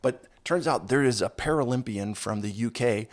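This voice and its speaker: A man hosting a podcast while hoarse from a cold, talking in an upbeat, self-deprecating, joking way. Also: medium pitch, normal speed. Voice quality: raspy, gravelly. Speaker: podcast host. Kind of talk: casual interview chat.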